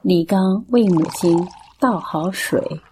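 A woman's voice: fairly low pitch, slow, dark tone, slight background noise. A woman narrating a radio drama from a script as its storyteller.

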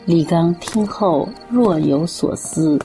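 A woman's voice: on the low side, takes it slowly, music in the background. A woman reading scripted narration for a radio drama.

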